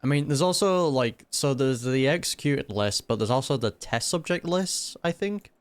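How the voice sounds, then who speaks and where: A man's voice: medium pitch, expressive, normal speed, dry recording. Casual man reacting to a game. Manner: slangy, wry asides. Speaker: gaming reaction streamer. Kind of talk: casual live game commentary. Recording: game music behind the commentary.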